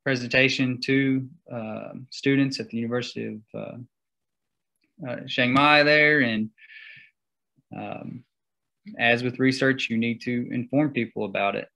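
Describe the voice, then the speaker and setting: A young man gives a slide-show seminar talk over a video call. He speaks slowly, with a gravelly edge, and stops often on fillers as he walks through his slides. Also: mid-range voice, fairly even intonation, pushed and bright, poor audio. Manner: halting, many fillers. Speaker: guest speaker.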